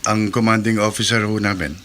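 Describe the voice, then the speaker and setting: A man's voice: lowish voice, little pitch movement, a little fast, bright, forceful tone, a little hiss or hum. An actor in a radio drama playing a polite young soldier who speaks respectfully to an older woman. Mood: earnest. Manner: respectful, earnest.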